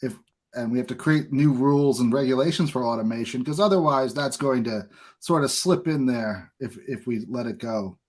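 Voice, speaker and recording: Male interviewer, medium pitch. Earnest, discursive podcast talk with firm opinions. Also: moderate pace, a little mellow. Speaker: podcast host.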